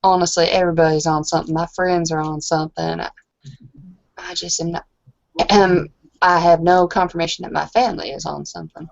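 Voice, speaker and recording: A woman's voice: on the low side, steady tone, fairly quick, gravelly, no room sound, muffled recording. A woman playing a tabletop roleplaying game in character, talking casually in an earnest, hesitant manner.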